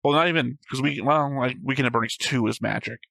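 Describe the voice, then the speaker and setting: A man's voice: mid-range voice, quick pace, creaky, a bit bright, no room sound. A man co-hosting a reaction show, chatting casually about a series in an argumentative, wryly joking way. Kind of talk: casual discussion of an animated series.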